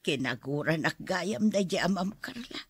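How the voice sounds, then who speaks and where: A woman's voice: fairly low pitch, expressive, moderate pace, breathy edge, dry recording, clean studio sound. A woman playing a stubborn, complaining, ailing old mother in a radio drama, in a plaintive way. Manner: plaintive, obstinate.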